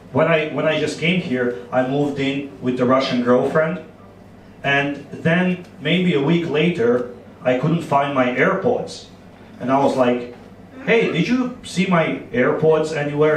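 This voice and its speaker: A man in his thirties from Croatia with a Croatian accent, doing an animated, playful stand-up set on a club stage with a handheld mic. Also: mid-range voice, recorded from a distance, slight background noise. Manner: animated, playful storytelling with quick asides. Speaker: stand-up comedian. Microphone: handheld microphone. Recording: echoey club, audience laughter. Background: audience laughter.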